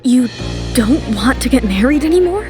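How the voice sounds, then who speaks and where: A young bride-to-be voiced by a woman, composed and newly assertive, in an audio romance. Her high voice is firm and earnest, with soft music behind it. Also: lively intonation, normal speed. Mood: defiant.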